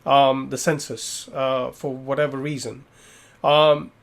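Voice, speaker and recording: A man moderating a webinar, putting measured questions to the speaker with frequent ums and uhs. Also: mid-range voice, flat delivery, vocal fry.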